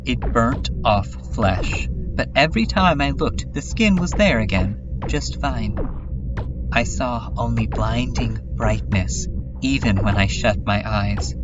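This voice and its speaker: A man playing a lonely, despairing last survivor, narrating a bleak diary in a halting, confessional way. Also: lowish voice, muffled recording. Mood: bleak, detached. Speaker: narrator of a horror comedy fan dub. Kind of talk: slow first-person scripted narration.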